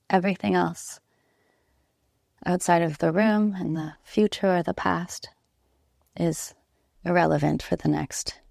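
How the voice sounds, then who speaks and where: Low, creaky-voiced woman guiding meditation. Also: dry recording, clean studio sound. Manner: soothing, unhurried, gentle instruction with long pauses. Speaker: yoga nidra instructor. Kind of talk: guided relaxation meditation.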